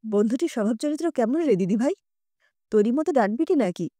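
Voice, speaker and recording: Casting: female narrator as elderly storybook grandmother, affectionate yet plain-spoken, high and mellow. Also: expressive, brisk, no room sound, studio quality, close to an everyday voice. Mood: teasing. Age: older. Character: kindly, firm old grandmother, wise and teasing.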